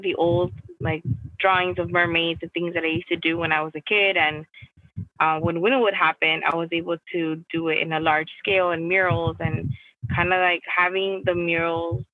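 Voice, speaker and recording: A woman's voice: on the low side, no room sound, narrow, tinny audio. A young adult woman from Miami, answering interview questions in a casual, rambling way with many restarts and "like" fillers. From United States (Miami). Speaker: guest artist.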